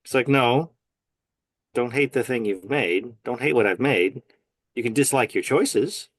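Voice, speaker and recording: A man's voice: medium pitch, unhurried, no room sound, studio quality. A man speaking as a guest in a casual faith conversation, earnest and emphatic, often agreeing firmly. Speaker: guest, nurse.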